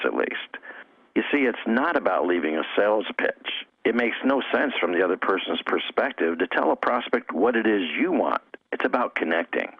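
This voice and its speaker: Dull-sounding recording, low-voiced lively male coach. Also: creaky, husky, dry recording. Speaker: network marketing coach and trainer. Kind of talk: instructional interview answers. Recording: dull, dry lo-fi audio.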